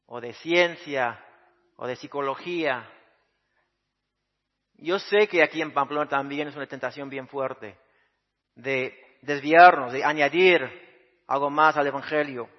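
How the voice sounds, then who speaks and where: A man's voice: a little high, dull audio. Earnest preaching man in a church hall. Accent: Spanish with Mexican Spanish influence. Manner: earnest, conversational with frequent hesitations. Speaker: guest preacher, missionary pastor. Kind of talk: sermon.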